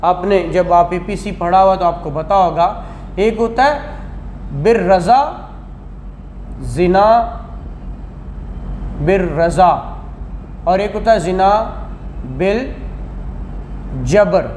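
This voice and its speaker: A man's voice: sits high, takes it slowly, pauses often, a little mellow. A man with a Pakistani accent giving a classroom law lecture in a didactic way, asking rhetorical questions and repeating key phrases. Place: indoor classroom. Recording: echoey classroom with faint noise.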